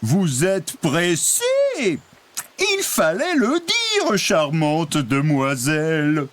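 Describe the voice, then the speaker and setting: A man voicing a jolly, gallant camel in a children's cartoon, with a courteous, playful, drawn-out delivery. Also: fairly high pitch, wildly swooping pitch, background noise, exaggerated voice. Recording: music under the voice.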